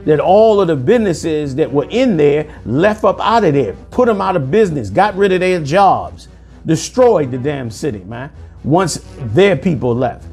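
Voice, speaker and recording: A man in his forties preaching commentary over news clips, forceful and sermonizing, calling listeners "man", recorded indoors on a lapel mic. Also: a little high, husky. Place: indoor room.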